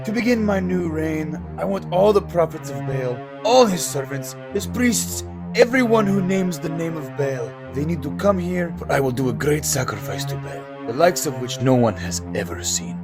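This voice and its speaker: A man's voice: a little high, lively intonation, normal speed. A man voicing a ruthless, scheming, proud king who speaks in a commanding, declamatory way in an audio drama. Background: music.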